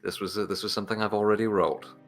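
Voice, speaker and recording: Male tabletop player, very low and gravelly, casual table talk, light music underneath. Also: little pitch movement, very fast. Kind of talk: casual game-table chat.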